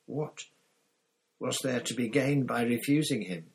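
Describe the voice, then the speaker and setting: A man voicing a cowardly, grovelling traitor in a storybook, stammering and whining as he begs with a rasping, gasping voice. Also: medium pitch, a little slow, voice pushed up. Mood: whining fear. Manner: stammering, repeating pleas.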